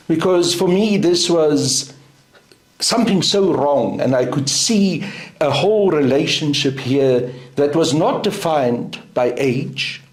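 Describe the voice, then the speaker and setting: Slow, deliberate South African man. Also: fairly high pitch. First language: Afrikaans. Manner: deliberate, emphatic, measured. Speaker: guest. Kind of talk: interview answer. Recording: roomy echo.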